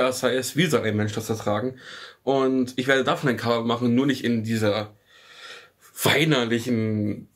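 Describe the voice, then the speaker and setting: A man's voice: mid-range voice, slightly rough. A young man talking to camera in a small room at home, rambling with many restarts and fillers as he gives a channel update. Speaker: music channel host. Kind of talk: casual vlog talk to camera. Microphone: studio mic off camera.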